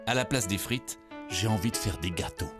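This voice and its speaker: A man's voice: lowish voice, animated, moderate pace, music in the background, lightly acted. A man voicing proud, earnest little girls with a childlike, emphatic manner in a children's cartoon. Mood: proud, eager.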